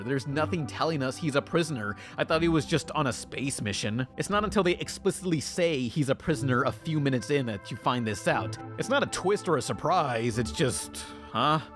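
A man's voice: medium pitch, animated, a little fast, hardly any pauses, fairly bright tone, faint music behind. A man narrating a scripted review as host, in a sarcastic manner.

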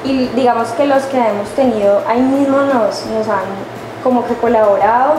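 A young woman from Colombia talks in a fairly high voice, hesitant and full of fillers and restarts. The sound is roomy, with steady noise from the large kitchen around her. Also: moderate pace. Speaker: food service operator. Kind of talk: testimonial interview. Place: large industrial kitchen, indoors. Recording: echoey kitchen with noisy ambience.

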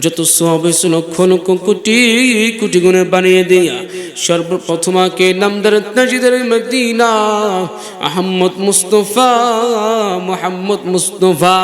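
A male cleric leading a chanted supplication, pleading in melodic, drawn-out phrases. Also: sits high, fairly even intonation, faint music behind. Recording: echoey PA with long reverb.

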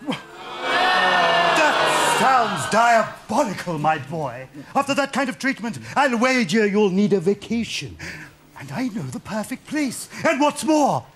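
A man's voice: high-pitched, very animated, slow, a crowd in the background, full character voice. A man playing a sly, theatrical con-man fox with florid, wheedling showman delivery in a pantomime. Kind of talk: broad Christmas pantomime acting. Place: TV studio stage. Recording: TV studio audience, faint noise.

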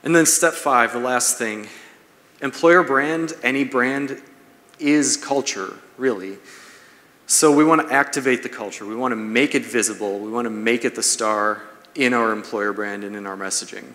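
A man's voice: medium pitch, normal speed, sharp tone. Man presenting in a very echoey hall. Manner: conversational, explanatory, checks in with "right?". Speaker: presenter, marketing speaker. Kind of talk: business seminar talk to an audience. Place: large brick-walled event room, indoors. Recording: echoey hall, long reverb.

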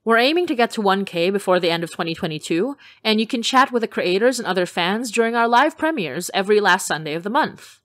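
A woman's voice: mid-range voice, animated, quick pace, few pauses, fairly bright tone, no room sound, studio quality. A woman podcast creator reading a scripted announcement in a friendly, upbeat way, addressing listeners directly.

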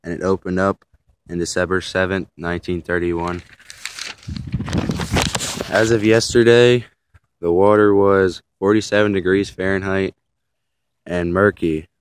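A young man casually narrating a tour of a river dam outdoors, filming on a phone, halting with many fillers and restarts. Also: sits low, steady tone, slight background noise. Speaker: vlogger filming a local landmark. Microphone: phone camera held while walking.

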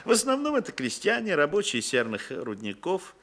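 An actor playing a genial, sly landowner of about sixty in a radio drama, chatty and hesitant with veiled menace. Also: male voice, on the high side, huge pitch swings, moderate pace, fairly bright tone, full character voice. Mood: chatty, wry. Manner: chatty, hesitant, chuckling, insinuating. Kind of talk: Soviet radio drama acting. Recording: noisy vintage radio drama recording.

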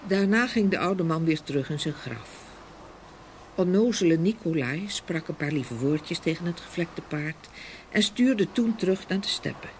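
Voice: mid-range voice, expressive, moderate pace. A storyteller giving a dramatic scripted narration of a fairy tale. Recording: faint ambient noise behind voice.

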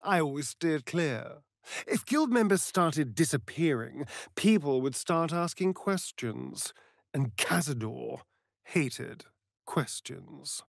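A vain British vampire rogue for a fantasy video game. He drawls slowly and archly, swinging theatrically across his range with catty, dry wit. The recording is close and dry. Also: a little high, big pitch swings, creaky, no room sound, exaggerated voice. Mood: wary. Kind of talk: dramatic video game acting.

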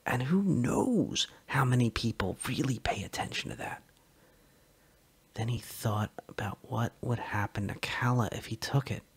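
Gravelly husky male storyteller. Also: medium pitch, unhurried, whispered. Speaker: narrator. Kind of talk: dramatic reading of a short story.

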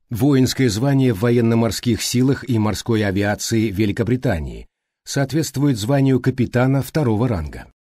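Man reading, mellow and soft-edged. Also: medium pitch, no echo, clean studio sound. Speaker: audiobook narrator. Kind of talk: scripted literary narration.

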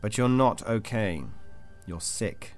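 An actor playing a cold, detached interrogator in an audio drama, formal, controlled and insistent. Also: male voice, fairly low pitch, slow. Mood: coldly firm. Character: cold, clinical male interrogator of a captive. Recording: music under the voice.

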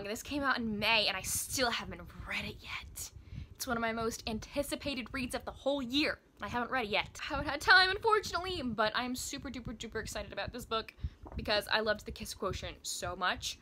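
An American woman in her twenties chatting casually to camera as a book vlogger, gushing and emphatic in an expressive way. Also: a little high, a little hiss or hum. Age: 20s. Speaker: book video blogger. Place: small indoor room by bookshelves.

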